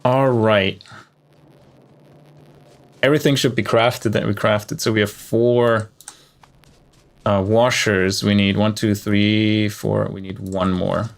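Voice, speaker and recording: A man's voice: on the low side, little pitch movement, takes it slowly, vocal fry, pauses often. A man giving casual live gameplay commentary, thinking aloud as he plays. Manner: thinking aloud while playing. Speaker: game streamer.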